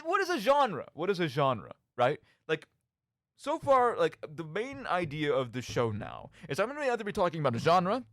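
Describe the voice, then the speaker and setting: A man's voice: on the high side, dry recording, clean studio sound. A young man hosting a casual, rambling radio show in a playful, mock-dramatic, tongue-in-cheek manner. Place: radio studio. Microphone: studio microphone.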